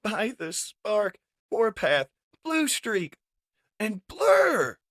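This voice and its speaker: A man voicing a small, excitable, emotional red robot car in a comic dub, exclaiming with wonder. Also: high voice, extremely slow, dry recording, studio quality, voice pushed up, heavily acted. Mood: overjoyed. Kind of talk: comic dub character acting.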